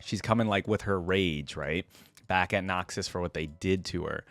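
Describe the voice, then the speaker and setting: A man with a fairly low voice gives casual reaction commentary as the host of a video. He talks in a slangy way, thinking aloud as he goes, and the sound is dry with little room in it. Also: moderate pace, a bit bright, no echo, faint music behind.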